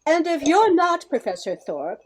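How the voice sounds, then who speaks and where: A poised young lady professor, played by an older woman in an amateur radio play. The voice is high and lively in pitch, with a composed, dryly teasing, pointed delivery. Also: measured pace. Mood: wry, self-assured.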